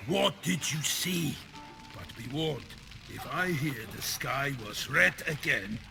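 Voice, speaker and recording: A man's voice: medium pitch, lively intonation, slow pace, vocal fry. A man playing a proud, theatrical knight errant in a fantasy video game, speaking in flowery, formal, archaic phrases. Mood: impatient. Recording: music playing behind.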